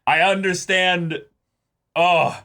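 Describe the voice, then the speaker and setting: A man's voice: high voice, steady tone, drawn-out words, clean tone. A man hosting a reaction show, giving casual commentary in short exclamations and interjections. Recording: loud show music behind speech.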